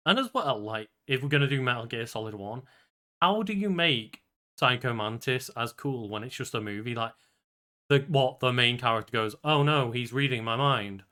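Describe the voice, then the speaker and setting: A man's voice: medium pitch, expressive, normal speed, sharp tone. A man co-hosting a podcast in a casual, conversational style. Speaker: podcast co-host.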